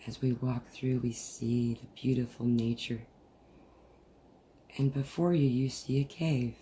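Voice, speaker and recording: A person leading a guided meditation in a soothing, deliberate manner with long pauses, recorded over a video call. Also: mid-range voice, fairly even intonation, warm tone. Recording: noisy, muffled group video-call audio.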